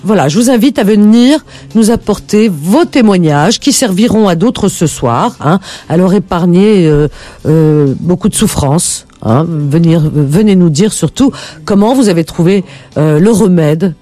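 A woman's voice: on the low side, expressive, a little slow, dark tone, faint music behind. A woman hosting a late-night call-in radio show, gushing and laughing often as she invites listeners to share their stories.

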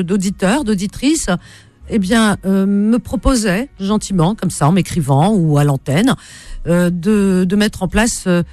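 A woman's voice: mid-range voice, warm tone, no room sound. A woman hosting a radio call-in, chatting casually and familiarly, often repeating back what she is told. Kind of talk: casual live radio chat.

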